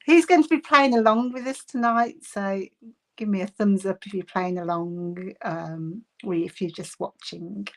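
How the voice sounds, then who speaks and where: A woman's voice: medium pitch, a little slow, poor audio. Chatty Bristolian woman hosting a craft stream. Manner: chatty, giggly, greets viewers by name. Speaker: craft livestream host. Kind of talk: casual live craft chat. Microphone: phone camera on desk.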